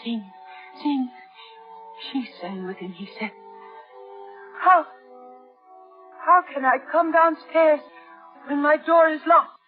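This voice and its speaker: Slow-speaking terrified bride, vintage radio. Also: female voice, high-pitched. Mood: frightened, pleading. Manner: breathless, dramatic diary narration with trembling dread. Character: terrified young bride trapped by a mad husband. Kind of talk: 1950s radio thriller acting. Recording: dull vintage radio recording, loud music behind.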